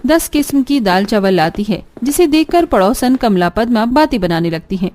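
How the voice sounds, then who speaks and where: A woman's voice: on the high side, expressive, moderate pace, darkish tone. A woman narrator reading a scripted family story for a cartoon video.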